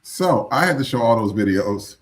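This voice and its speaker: An indignant man from the United States co-hosts a news podcast, talking loosely and with some profanity. His voice sits at a middle pitch for a man. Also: lively intonation, moderate pace. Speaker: podcast co-host. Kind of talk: casual news commentary.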